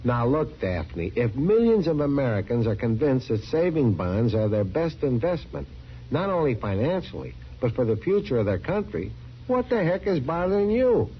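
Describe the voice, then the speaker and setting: Emphatic, put-upon husband played by an actor, big swings in pitch, old radio commercial, dull sound with faint noise. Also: male voice, very animated, moderate pace, close to an everyday voice. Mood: exasperated. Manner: emphatic, explanatory. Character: earnest, exasperated husband, adult male. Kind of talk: old-time radio advertisement sketch. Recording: muffled vintage radio recording, faint noise.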